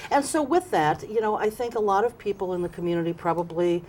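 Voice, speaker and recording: A woman's voice: mid-range voice, quick pace, crowd noise. A woman hosting a local TV interview, asking questions in a friendly, easygoing way. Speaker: talk show host.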